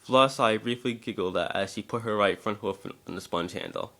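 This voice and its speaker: A man's voice: on the low side, a little fast, a bit bright. A man narrating a story as an amateur dramatic reader, occasionally stumbling over the text.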